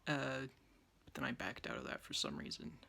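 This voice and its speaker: A woman's voice: a bass voice, big pitch swings, normal speed, creaky, sharp tone. A young woman in her twenties chatting casually to her phone camera while painting at home, sounding wheezy from a sore, dry throat. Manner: chatty, self-deprecating, talks while painting. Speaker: art vlogger. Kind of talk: rambling casual chat. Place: small yellow room at home, indoors. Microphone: phone on a tripod, close-up.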